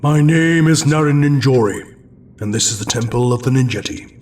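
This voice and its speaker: A man playing an ancient, stern and weary wizard in an audio drama, speaking solemnly and deliberately. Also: mid-range voice, darkish tone, far from the mic, close to an everyday voice. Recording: off-mic with faint noise.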